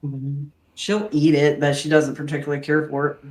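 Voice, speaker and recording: A woman's voice: low voice, little pitch movement, normal speed, darkish tone. Older woman, homey host, earnest chatter that turns preachy, roomy kitchen sound. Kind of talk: casual chat while cooking. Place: home kitchen.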